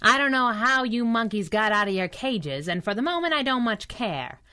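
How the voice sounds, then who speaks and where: High, bright, wisecracking superhero sidekick. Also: female voice, lively intonation, normal speed, clean tone. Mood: mocking, cocky. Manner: sassy, slangy, tough-talking. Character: brash, wisecracking female superhero sidekick, tough and fearless. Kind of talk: 1930s radio adventure serial acting.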